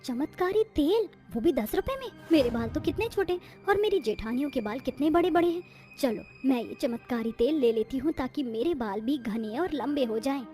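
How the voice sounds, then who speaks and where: A woman's voice: sits high, expressive, moderate pace, warm tone, faint music behind. A woman playing a meek, naive young daughter-in-law in a cartoon moral story, speaking earnestly and plaintively. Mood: hopeful.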